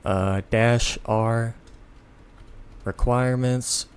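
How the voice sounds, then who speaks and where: A tired man giving a casual, unscripted software tutorial in a rambling, self-deprecating way. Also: medium pitch, fairly even intonation, very slow, clear, resonant tone. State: tired, sleepy. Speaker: tutorial host.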